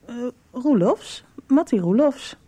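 An actress playing a coy, frank nineteen-year-old in a radio soap, with a hesitant, guarded way of talking. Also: female voice, high-pitched, expressive, slow pace, warm tone, breathy edge, heavily acted. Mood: shy, playful. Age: young adult. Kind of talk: 1990s Dutch radio soap dialogue.

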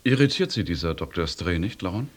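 An actor playing a polite, probing officer in a German radio drama, speaking formally with a husky voice. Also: male voice, sits low, expressive, brisk, noisy background. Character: probing, polite young officer questioning his comrades.

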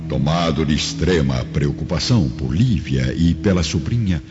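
A man's voice: extremely low pitch, expressive, moderate pace. A man narrating a radio drama in a dramatic, scripted style. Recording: dull lo-fi audio under loud music.

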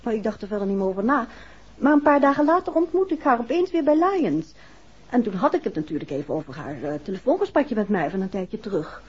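A detective's inquisitive middle-aged wife in a vintage radio drama. Her voice is a little husky, with lively rises and falls and a chatty manner. The recording is muffled. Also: medium pitch, quick pace. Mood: chatty, puzzled. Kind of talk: 1960s Dutch radio play acting.

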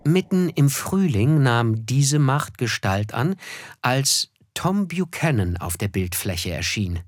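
An actor playing a reflective, melancholy narrator who looks back, telling a literary radio drama in measured, thoughtful phrases. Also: male voice, mid-range voice, big pitch swings, no echo, clean studio sound.

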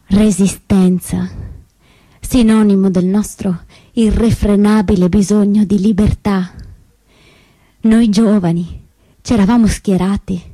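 Actress as female camp survivor, slow, mellow, grave, resolute, deliberate broken phrasing, theatrical testimony. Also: medium pitch, no room sound.

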